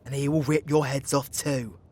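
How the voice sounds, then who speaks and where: A man's voice: medium pitch, steady tone, moderate pace, a little mellow, close to an everyday voice. A British man playing a cocky, foul-mouthed, joking young warlock in an audio roleplay, with a cheeky, slangy delivery. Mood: serious, insistent.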